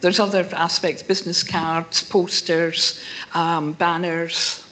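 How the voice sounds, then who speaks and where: A woman with a rough voice, speaking as a member of the public to a council meeting and answering questions. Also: on the low side, level tone, takes it slowly, a bit bright, a little hiss or hum, rough recording. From incomer to the town. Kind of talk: formal address to a council meeting.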